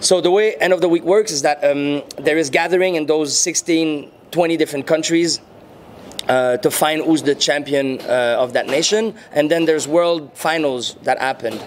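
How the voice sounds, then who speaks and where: A man in his thirties speaks English with a Quebec French accent, French being his first language. He talks slowly and thoughtfully, with many ums, into handheld mics at an indoor venue. Faint noise hangs behind him. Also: fairly high pitch. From French-speaking Canada. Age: 30s. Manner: unhurried, searching for words, frequent fillers. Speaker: interviewee, muralist and rapper. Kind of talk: casual interview answers. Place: indoor venue before a painted backdrop. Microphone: handheld mics held near the chin.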